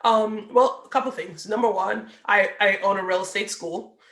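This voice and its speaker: A woman's voice: mid-range voice, fairly even intonation, normal speed, a bit bright. Earnest woman from Trinidad and Tobago, echoey room. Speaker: guest, real estate team leader. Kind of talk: casual interview over video.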